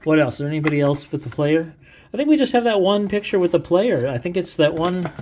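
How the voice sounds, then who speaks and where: Middle aged guy, bit high pitched, rambles casually thru a gadget review, sounds amused. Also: expressive, fast talker, a little mellow, slight background noise. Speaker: co-host, dad showing vintage gadgets. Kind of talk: casual unscripted product review chat.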